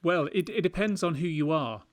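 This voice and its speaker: A man's voice: a little high, moderate pace, no room sound. A British man chatting casually as a podcast host. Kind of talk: casual chat.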